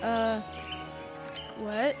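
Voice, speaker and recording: A woman's voice: on the high side, fairly even intonation, very slow, over music. A young female-sounding performer playing a cheeky, impulsive, clueless boy in an audio drama. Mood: defensive, naive. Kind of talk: youth audio drama acting.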